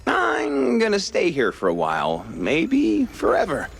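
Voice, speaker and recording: A man's voice: high voice, wildly swooping pitch, a little slow, gravelly, slight background noise, exaggerated voice. A man playing a cynical, cryptic war veteran in a cartoon game, sardonic and grumbling. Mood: musing. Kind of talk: cartoon video game acting.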